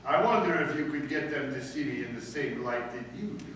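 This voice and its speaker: A man playing a pompous high school principal who feigns humble meekness in florid speech, in old-time radio comedy style. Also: lively intonation, a little fast, fairly bright tone, lightly acted. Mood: pleading, florid. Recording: echoey room, distant mic, faint noise.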